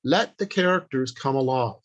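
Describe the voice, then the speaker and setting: A man giving an instructional talk as a workshop speaker, deliberate and emphatic, speaking in short declarative phrases with pauses. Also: mid-range voice, lively intonation, lo-fi sound.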